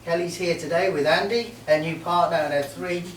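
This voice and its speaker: A middle-aged man from Merseyside giving a halting, joking best man's speech at a wedding reception. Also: on the high side. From Merseyside, England. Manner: warm, joking, halting with many ums. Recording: roomy hall, mic far off, faint noise.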